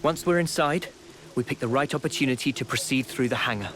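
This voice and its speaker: A man voicing a calm, serious young squad leader in a game cutscene, speaking in a measured, earnest way. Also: medium pitch, background noise, lightly acted. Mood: focused. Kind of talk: video game cutscene acting.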